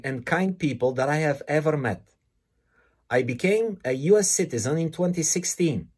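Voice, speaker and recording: A man from Bulgaria with a Bulgarian accent, delivering a scripted opinion monologue in a measured, emphatic way, stressing words one by one. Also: on the high side, a little mellow, slightly rough.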